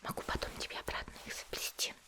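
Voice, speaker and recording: A woman playing a sweet, chatty young anime character in an ASMR roleplay, whispering softly and gently as she tends a friend's hair. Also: lightly acted. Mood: tender, doting. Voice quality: soft, breathy whisper. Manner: gentle, unhurried, cooing.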